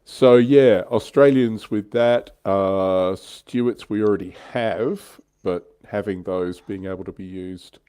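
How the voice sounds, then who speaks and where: Low, mellow-voiced man chatting casually. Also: fairly even intonation, takes it slowly, few pauses, clear, resonant tone. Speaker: livestream co-host. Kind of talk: casual hobby chat about tabletop wargames.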